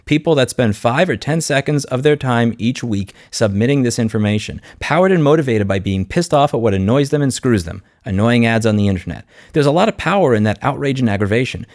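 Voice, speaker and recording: A man's voice: medium pitch, expressive, fast, hardly any pauses, darkish tone, no echo, clean studio sound. A man speaking off the cuff as a video host, blunt and sardonic, in an informal advocate's monologue. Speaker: YouTube host and consumer rights advocate. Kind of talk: unscripted vlog monologue, screen walkthrough.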